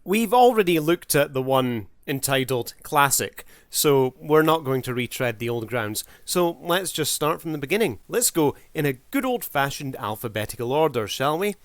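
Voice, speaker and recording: A man's voice: a little high, very animated, normal speed, hardly any pauses, no echo. A man giving casual review commentary as a video creator, in a wry, mock-formal showman's manner.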